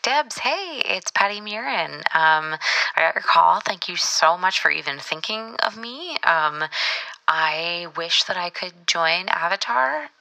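A woman playing a scatterbrained new-mother actress, halting and rambling through a phone message in an audio comedy. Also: on the low side, lively intonation, creaky, sharp tone, heavily acted, rough recording. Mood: flustered, incredulous.

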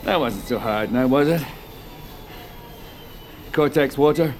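An actor playing a domineering tech magnate boss, imperious, goading and theatrical, in a sci-fi thriller audio drama. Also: male voice, mid-range voice, slow, darkish tone, slight background noise. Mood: smug.

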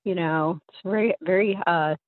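A woman's voice: fairly low pitch, normal speed, no echo. Young American woman on a tinny phone line. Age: young adult. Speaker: phone-in guest. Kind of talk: casual personal storytelling. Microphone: phone call.

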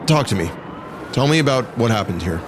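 An actor playing a concerned, steady police officer in a naturalistic audio drama, plain-spoken and a little halting. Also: male voice, mid-range voice, close to an everyday voice. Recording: clear outdoor traffic and vehicle noise. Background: cars and urban outdoor ambience.

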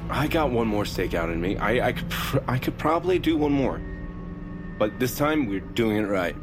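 A man's voice: mid-range voice, big pitch swings, moderate pace, over music, lightly acted. An actor playing a cocky, impulsive male amateur bounty hunter, brash and sarcastic, in an audio drama. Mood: exasperated, impulsive.

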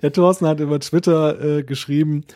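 A man's voice: a little high, steady tone, fairly quick, dark tone, no room sound, studio quality. A man from northern Germany with a northern German accent, chatting casually as co-host of a tech podcast.

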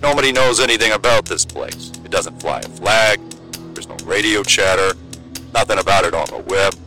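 A man's voice: fairly low pitch, moderate pace, pushed and bright, soft background music. A man playing a sceptical, worried friend in a drama trailer, blunt, colloquial and urgent.

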